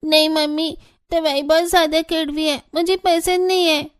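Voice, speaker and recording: A woman's voice: high voice, level tone, moderate pace, lightly acted. A woman voicing a reluctant, whiny little child in a cartoon. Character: reluctant little child refusing bitter medicine.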